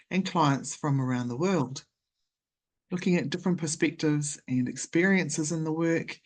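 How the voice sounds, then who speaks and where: A woman, hosting a podcast in a casual, chatty interview style. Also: low voice. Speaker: podcast host.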